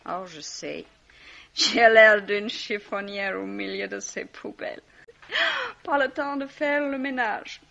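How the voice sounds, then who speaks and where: A woman's voice: medium pitch, slow pace, creaky, fairly bright tone. Wrecked, volatile young drunk woman for radio mystery; slurred, piercing voice, dull old recording. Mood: tipsy, boisterous. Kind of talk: 1950s French radio mystery acting.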